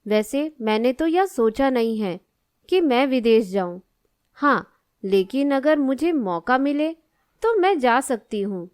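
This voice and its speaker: A woman acts as a dutiful young cartoon daughter with a high, smooth voice. She is polite, plain-spoken and level-headed, recorded dry and close. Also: lively intonation, unhurried, a little mellow, no echo. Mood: hesitant, practical. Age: young adult. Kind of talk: Hindi animated moral story dialogue.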